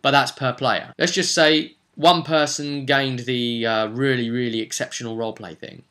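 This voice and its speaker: A man's voice: medium pitch, fairly even intonation, moderate pace, pushed and bright. A man giving an informal advice monologue as a game master and host, chatty and emphatic, often putting rhetorical questions to the listener.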